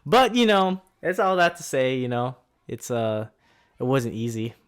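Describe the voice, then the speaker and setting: A man's voice: medium pitch, sing-song, a little slow, smooth. A man in his twenties, co-hosting a podcast in a laid-back, rambling way, often laughing. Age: 20s. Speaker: podcast co-host. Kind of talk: casual chat.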